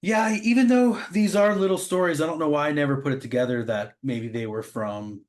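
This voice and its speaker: A man with an average-pitched voice chats casually as a podcast co-host. He is agreeable and often chimes in with reactions while talking through comic books. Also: fairly quick. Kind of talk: casual comic book discussion.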